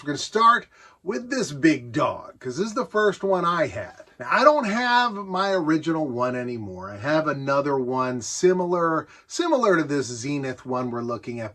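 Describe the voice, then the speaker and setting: A man's voice: on the high side, bright, forceful tone. A middle-aged man with an American accent giving a casual, nostalgic show-and-tell, enthusiastic and conversational with self-amused asides. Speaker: host showing a vintage electronics collection.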